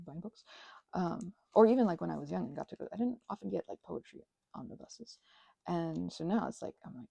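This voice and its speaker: A woman rambling casually and unscripted while crafting, halting and wandering off on tangents, with excitable, gushing exclamations. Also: mid-range voice, gravelly, husky, no echo. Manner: halting, digressive, with gasps and exclamations. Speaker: craft and ASMR channel creator.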